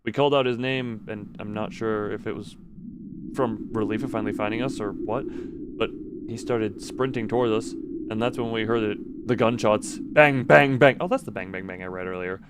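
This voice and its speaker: Low-voiced man streaming a game, noisy background. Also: normal speed. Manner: stumbles over read text and corrects himself. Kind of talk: casual livestream commentary mixed with reading game text aloud.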